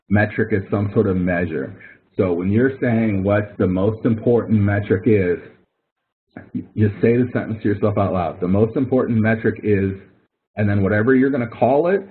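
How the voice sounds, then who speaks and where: Man, professor, lowish slightly husky voice, conversational step-by-step explaining, online help session, thin phone-line audio. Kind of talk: informal explanatory tutoring with questions and answers. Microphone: online call microphone.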